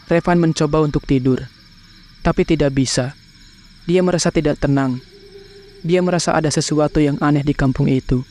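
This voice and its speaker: A man's voice: on the high side, steady tone, normal speed, long pauses, a little mellow. A man with an Indonesian accent narrating a scripted horror cartoon story. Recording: clear insect and bird noise behind. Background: crickets, insects, birds.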